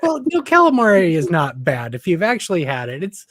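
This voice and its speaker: A man's voice: sits high, wildly swooping pitch, fairly quick, a bit bright, dry recording, clean studio sound. A man co-hosting a casual live stream, laughing often and stumbling over his words. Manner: giggly, stumbling restarts. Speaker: co-host.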